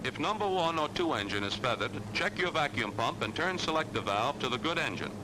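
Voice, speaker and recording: A man's voice: fairly high pitch, big pitch swings, fairly quick. An American man reading scripted 1940s military training film narration in an assured, instructional way with clipped phrasing. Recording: noisy 1940s film soundtrack.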